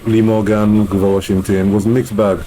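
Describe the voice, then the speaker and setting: A man's voice: lowish voice, level tone, fairly quick, mellow, slight background noise. A man with a Nigerian accent, answering interview questions conversationally as a musician. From Nigeria, grew up in Lagos. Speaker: musician and bandleader being interviewed.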